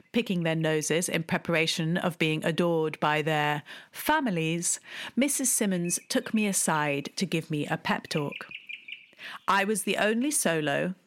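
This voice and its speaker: A woman, telling a personal memoir in a wry, deliberate way, with hushed asides. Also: fairly low pitch. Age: 30s. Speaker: storyteller. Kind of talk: memoir storytelling.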